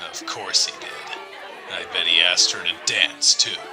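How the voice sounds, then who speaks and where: A man's voice: deep voice, fairly even intonation, moderate pace, creaky, music in the background, crowd noise. A man playing a brooding, menacing, jealous rival suitor in an audio drama. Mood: sly. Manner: menacing, intense.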